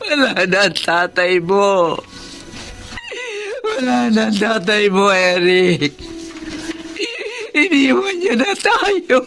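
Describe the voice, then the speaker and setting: A woman's voice: medium pitch, sing-song, slow pace, pauses often, music in the background. An actress playing a tender, grieving middle-aged mother from the countryside in a Filipino radio drama. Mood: tearful, overwhelmed.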